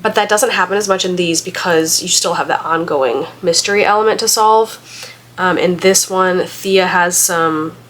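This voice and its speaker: A woman with a somewhat low voice, stuffy and congested from a lingering cold. She chats in a loose, rambling way, full of little fillers. There is a faint hiss of noise behind her. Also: fairly bright tone. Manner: chatty, rambling, many "like"s and "um"s. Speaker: book vlogger. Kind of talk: casual vlog chat.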